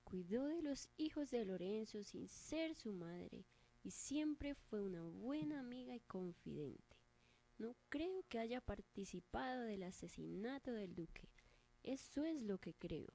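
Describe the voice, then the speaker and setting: Woman acting a mellow, lilting old villager. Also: a little high, expressive, unhurried. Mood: earnest, protective. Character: kindly old village woman defending her friend. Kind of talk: radio drama acting.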